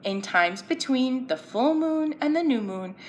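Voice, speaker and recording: Female children's presenter, lively swooping pitch, playful question-and-answer teaching, echoey recording with some background noise. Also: measured pace. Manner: playful, asking young viewers simple questions and answering them. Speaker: children's story-time presenter. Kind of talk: children's educational story time, explaining and reading aloud. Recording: roomy echo, background noise.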